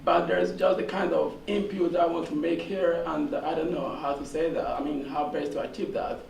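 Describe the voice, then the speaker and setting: Hoarse guy from nigeria in a seminar audience, gravelly, echoey room. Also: fairly high pitch, level tone, a little mellow. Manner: halting, many fillers, earnest. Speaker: audience member asking a question. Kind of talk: long question from the audience. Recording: echoey room, distant, faint noise.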